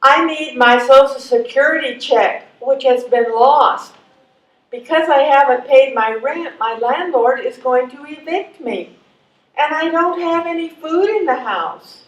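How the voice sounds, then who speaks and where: A woman's voice: sits high, a little slow. A woman playing a dry, plain-spoken, exasperated old lady in a readers theatre stage performance. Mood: pleading. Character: exasperated, wry old lady needing help. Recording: echoey hall, distant microphone.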